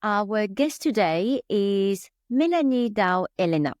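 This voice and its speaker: A woman's voice: medium pitch, animated, slow pace, darkish tone, faint music behind. A woman of French-Vietnamese heritage hosting a podcast interview, upbeat and chatty, with plenty of fillers. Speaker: podcast host.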